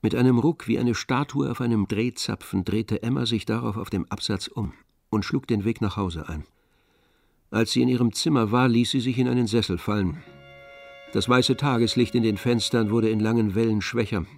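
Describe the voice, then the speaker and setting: A man narrating a literary radio drama in a measured, composed storytelling manner. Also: on the low side, vocal fry, darkish tone, no echo, studio quality, faint music behind. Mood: measured, descriptive.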